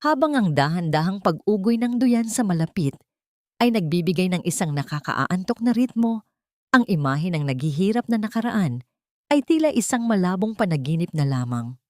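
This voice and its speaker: A woman reading a scripted, sentimental story in a measured, solemn manner. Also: on the low side, sing-song, darkish tone, no room sound, clean studio sound. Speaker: storyteller, narrator. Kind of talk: scripted dramatic story narration.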